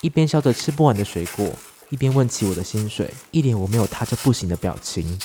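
A man's voice: fairly low pitch, animated, quick pace, dark tone, a little hiss or hum. A man reading a personal essay as scripted literary narration.

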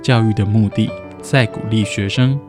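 A man's voice: on the low side, steady tone, moderate pace. A man reading scripted narration with piano music behind him. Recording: music bed under voice.

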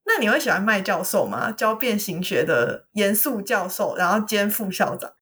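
Female podcast co-host, Taiwanese accent, mid-pitched and a touch husky, animated, explains things conversationally. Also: normal speed. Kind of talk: casual chat, retelling and explaining a story.

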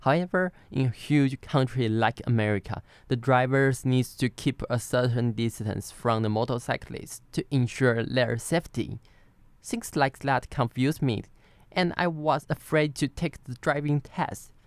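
Clear-voiced Taiwanese man telling a story. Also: medium pitch, unhurried, dry recording. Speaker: podcast co-host. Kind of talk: semi-scripted personal storytelling.

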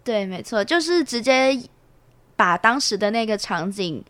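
A woman's voice: a little high, lively intonation, a little slow, fairly bright tone, no room sound. A young woman, a student guest, explaining her experience in casual conversational speech. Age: young adult.

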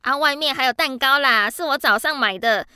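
A woman's voice: high voice, a little fast, pushed and bright, no echo, studio quality. An actress playing a chatty, effusive middle-aged mother in a radio drama, with a Taiwanese Mandarin accent mixed with Hokkien. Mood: grateful, hospitable. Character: chatty, friendly neighborhood mother asking a favor.

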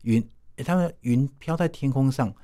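A man's voice: mid-range voice, moderate pace, mellow. A man in his forties with a Taiwanese Mandarin accent, telling stories casually as an interview guest in an easygoing, self-effacing way. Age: 40s. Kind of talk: casual conversational storytelling.

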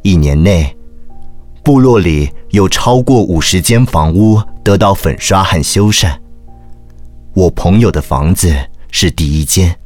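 An earnest, caring priest recounting his memoir. The voice is very low and unhurried, deliberate yet expressive, in a literary audio drama over faint music. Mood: earnest, stirred. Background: music behind the voice.

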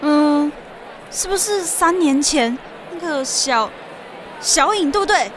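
High-pitched outgoing teenage girl played by a woman. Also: lively intonation, slow pace, crowd noise, low-quality recording. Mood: puzzled. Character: friendly, outgoing teenage girl, idol fan. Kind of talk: Chinese audio drama acting. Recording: music under the voice.

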